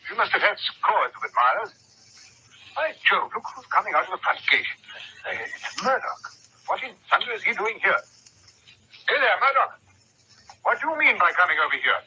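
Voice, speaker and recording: An actor playing a pompous, blustering English schoolmaster in an old-time radio drama. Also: male voice, sits high, big pitch swings, moderate pace, pauses often, pushed and bright, full character voice. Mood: outraged. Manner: blustering, pompous, old-fashioned British exclamations. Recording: thin, noisy vintage radio recording.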